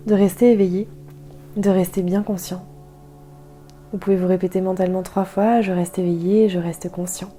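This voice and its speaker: Woman, very dark mellow voice, soft and calm, guided meditation delivery, gentle pauses, music behind. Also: mid-range voice. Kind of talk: guided yoga nidra meditation, soothing instruction.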